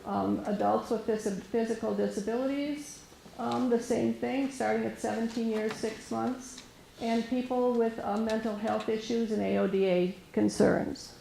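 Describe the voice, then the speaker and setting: A soft-spoken woman with a gravelly, mellow voice presents to a room as a resource specialist. She talks hesitantly, full of fillers and restarts, and the room microphone catches a roomy echo. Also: a little high, fairly even intonation, unhurried, slight background noise. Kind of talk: informal presentation to an audience. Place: meeting room with an audience. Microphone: room microphone recorded for camera.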